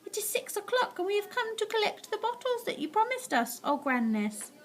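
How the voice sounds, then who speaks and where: A woman voicing timid old witches in a storybook, meek and soft. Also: very high-pitched, big pitch swings, brisk, pitched above the performer's own voice.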